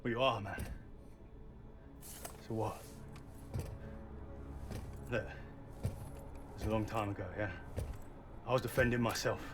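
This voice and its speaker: An actor playing a weary, defensive prison inmate with a violent past in a cinematic video game, wry and streetwise. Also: male voice, on the low side, steady tone, a little slow, long pauses, close to an everyday voice. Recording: game audio with music behind. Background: music.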